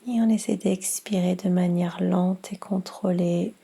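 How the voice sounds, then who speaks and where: A woman leads a guided relaxation in a soft, mellow voice. Her delivery is very slow and soothing, with breathiness throughout. She gives calm instructions as a meditation teacher would. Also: on the low side, creaky, husky. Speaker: yoga nidra instructor and guide.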